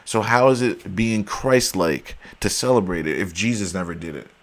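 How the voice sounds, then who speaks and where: Man, very deep voice, emphatic, argumentative, hoarse, casual video talk. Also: normal speed. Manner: emphatic, argumentative, addresses viewers as guys. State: losing his voice. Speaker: video host.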